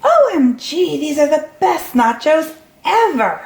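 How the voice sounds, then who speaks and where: A woman voicing an eager, excitable young storybook character for children. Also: high-pitched, a little slow, creaky, reverberant, voice pushed up, heavily acted. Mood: delighted.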